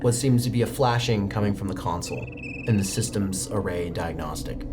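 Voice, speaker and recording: A man's voice: deep voice, a little fast, noisy background. A man narrating a tabletop game as game master, describing scenes steadily with the odd filler. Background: music.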